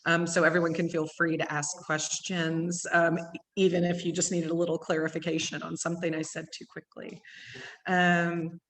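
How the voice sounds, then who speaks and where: A woman with an East Texas accent, a gallery owner giving a quick, chatty tour full of ums. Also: fairly low pitch, flat delivery. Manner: fast-talking with many ums. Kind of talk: virtual gallery tour talk. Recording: long hall reverb.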